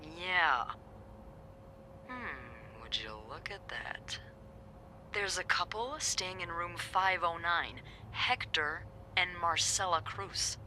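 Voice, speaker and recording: An actress playing a wry hotel coworker, speaking in a dry, knowing, teasing way. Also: female voice, on the low side, slow pace, bright, forceful tone, slight background noise, heavily acted, low-quality recording. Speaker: hotel staff.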